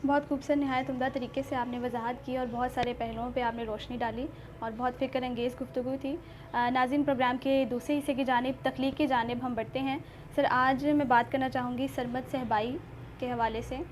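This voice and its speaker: A Pakistani woman presents as host in a clearly high voice. She is formal and courteous in her phrasing. A little noise is audible behind her. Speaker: host of a literary show.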